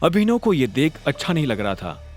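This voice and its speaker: A man's voice: fairly high pitch, very animated, brisk. A man narrating a romantic audio drama in a dramatic, scripted storytelling style.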